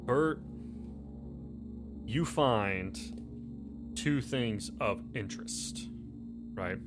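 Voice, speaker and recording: A man running a tabletop game, improvising the narration haltingly and repeating himself for suspense. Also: mid-range voice, lively intonation, loud music behind the voice. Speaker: game master.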